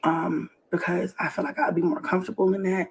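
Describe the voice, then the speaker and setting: A woman just out of bed, talking softly and half whispering in a morning vlog, her voice hoarse. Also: lowish voice, level tone, a little fast. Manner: soft and sleepy, partly whispered.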